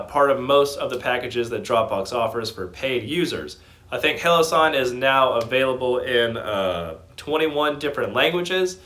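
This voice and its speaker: A man's voice: mid-range voice, a bit bright. A man giving informal stock analysis as a channel host, speaking in an enthusiastic, emphatic way.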